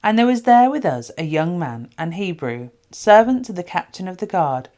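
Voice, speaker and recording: A woman's voice: lowish voice, very animated, normal speed, dark tone. A woman reading the part of a male royal servant eagerly recounting events in a scripture story, with emphatic storytelling. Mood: confessing, earnest.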